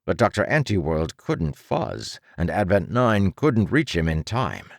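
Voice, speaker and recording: Deep, dramatic male audiobook narrator. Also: big pitch swings, unhurried, vocal fry, no echo, clean studio sound.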